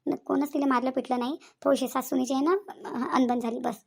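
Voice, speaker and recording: Cartoon village auntie, voiced by a woman: middle-aged placating peacemaker, high-pitched, brisk, chatty, Varhadi Marathi accent. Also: steady tone, creaky, husky, full character voice. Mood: calming, insistent. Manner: talkative, reassuring, repetitive persuasion. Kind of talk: comedy cartoon dialogue.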